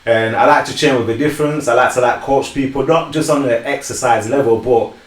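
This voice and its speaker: A man's voice: medium pitch, a little fast, roomy sound. A man, a personal trainer, introducing himself in an earnest, upbeat way. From Sheffield, England. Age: 30s. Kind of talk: casual self-introduction.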